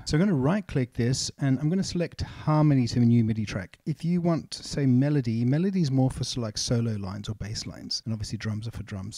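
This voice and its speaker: Casting: male tutor, soft dark tone, mid pitch, informal step-by-step software walkthrough with music behind. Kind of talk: casual software tutorial narration. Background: music samples and synth sounds.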